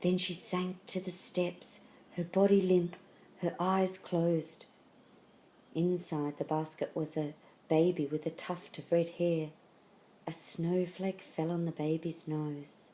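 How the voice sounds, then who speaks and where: Lower-pitched woman reading a storybook. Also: little pitch movement, warm tone, slight background noise, poor audio. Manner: dramatic, deliberate phrasing. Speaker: storybook reader. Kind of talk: expressive scripted children's story narration.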